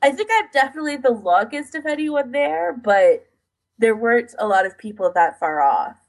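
A woman's voice: fairly high pitch, expressive, moderate pace. A woman co-hosting a podcast in casual chat, recounting things in detail with a self-deprecating streak. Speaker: podcast co-host.

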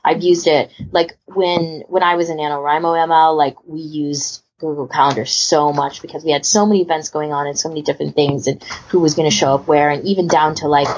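Husky, low-voiced woman chatting casually. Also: steady tone, a little fast, few pauses, darkish tone. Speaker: podcast co-host. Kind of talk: casual chat. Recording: dull lo-fi voice recording.

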